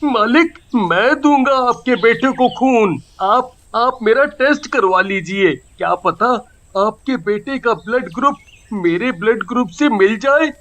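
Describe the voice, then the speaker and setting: A man playing a humble, honest poor father in a moral story cartoon, speaking earnestly and pleadingly with halting repeats. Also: extremely high pitch, animated, a bit bright, a little hiss or hum, exaggerated voice. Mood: pleading, urgent.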